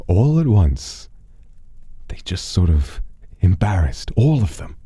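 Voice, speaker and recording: A man telling a first-person story in a theatrical, deliberate way, with hushed and drawn-out phrases. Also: low voice, breathy edge, dry recording.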